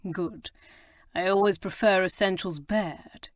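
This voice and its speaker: Woman playing a sardonic aristocratic gentleman in a storybook reading, languid and dry-humoured, close dry mic. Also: medium pitch, steady tone, takes it slowly, no echo, lightly acted. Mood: dryly amused. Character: sardonic amused aristocratic man, a duke. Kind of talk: audiobook character reading.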